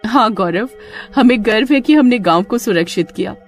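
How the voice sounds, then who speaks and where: A careful, earnest young adventuress from an audio story, acted by a woman. She speaks dramatically, with animated pitch, over faint music. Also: normal speed, close to an everyday voice. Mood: proud.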